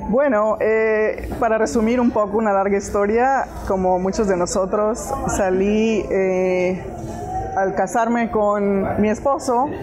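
A woman's voice: medium pitch, fairly even intonation, slow pace, a crowd in the background. A middle-aged woman from Honduras with a Honduran accent, answering as an interview guest on a lapel mic. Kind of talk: conversational interview. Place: indoor studio set in a market hall. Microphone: lapel microphone.

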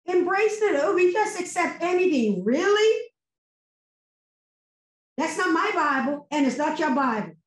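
A middle-aged woman giving a fervent, preacherly response to a sermon, full of emphatic rhetorical questions, on a video call. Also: high voice. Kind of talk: impassioned post-sermon testimony. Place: home room with virtual background. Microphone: laptop webcam on video call. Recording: echoey video call audio.

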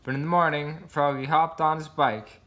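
A man's voice: a little high, fairly even intonation, normal speed, fairly bright tone. A man reading a children's picture book aloud, voicing the sound words expressively. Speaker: storybook reader.

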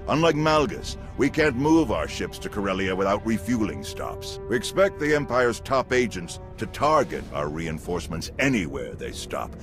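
An actor playing a burly, authoritative alien general giving a grave military briefing in a video game. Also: male voice, on the low side, sing-song, normal speed, few pauses, music in the background. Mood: commanding.

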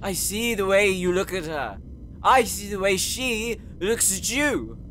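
A man's voice: sits high, lively intonation, unhurried, pushed and bright, faint music behind, exaggerated voice, low-quality recording. A man playing an insecure, earnest young man in an audio roleplay, whiny and easily flustered. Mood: emotional. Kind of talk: dramatic audio roleplay acting.

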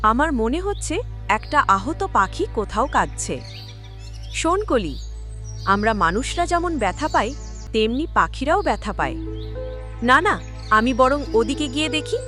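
A woman voicing a kind-hearted, eager young village girl in a cartoon, with an earnest, expressive manner. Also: sits high, moderate pace, pauses often, faint music behind. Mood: concerned, tender. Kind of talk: cartoon dialogue acting.

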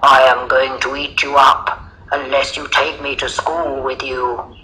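Storyteller doing a big gruff growly storybook lion, drags words out slow and menacing, noisy recording. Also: mid-range voice, flat delivery, sharp tone. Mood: threatening, gruff. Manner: slow, drawn-out, threatening delivery.

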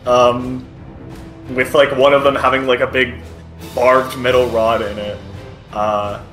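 A man running a tabletop game as game master, improvising narration in a halting, hesitant way. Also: medium pitch, flat delivery, a bit bright, reverberant, faint music behind, poor audio.

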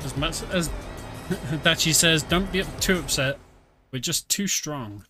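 A man's voice: a little high, a little slow, sharp tone. A man casually commentating a video game stream, explaining the story as he plays. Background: game music.

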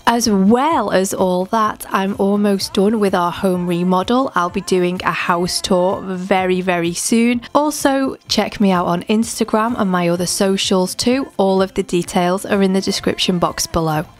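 A woman's voice: medium pitch, fairly even intonation, a little slow, hardly any pauses, faint music behind. Young woman narrating a cleaning vlog. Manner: upbeat and promotional, addressing viewers directly. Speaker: cleaning channel host. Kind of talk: scripted voice-over narration.

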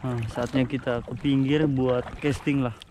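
A young man fishing from a kayak at sea, exclaiming and groaning excitedly as he talks to his action camera. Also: mid-range voice, unhurried. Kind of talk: casual first-person fishing vlog. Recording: outdoor wind and water noise.

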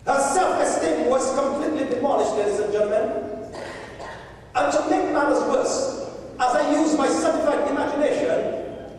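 High-voiced man preaching. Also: normal speed, slight background noise. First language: Haitian Creole. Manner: animated, conversational preaching with call-outs to the congregation. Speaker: guest preacher. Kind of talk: sermon. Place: large church sanctuary. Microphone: pulpit mic and room pickup, walking the floor. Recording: very echoey church from a distance.

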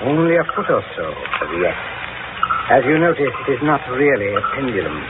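An actor playing a cold, spectral inquisitor friar, speaking in a measured, ominous, formal way in 1940s radio horror style. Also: male voice, medium pitch, big pitch swings, natural voice. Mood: ominous gloating. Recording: noisy, tinny vintage radio broadcast.

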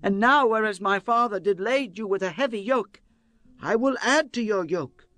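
A man's voice: high-pitched, moderate pace, pushed and bright, no room sound. An actor playing a bold, commanding man making solemn pronouncements in a dramatized scripture reading, declaiming in archaic language. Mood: arrogant. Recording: music bed behind the voice.

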